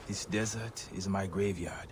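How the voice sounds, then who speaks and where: Slow, grim avenger. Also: male voice, low-pitched, fairly even intonation, slight background noise. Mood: grim, resigned. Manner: slow, intense, solemn. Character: grim avenging killer seeking redemption, joining a secret order. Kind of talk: dramatic video game acting.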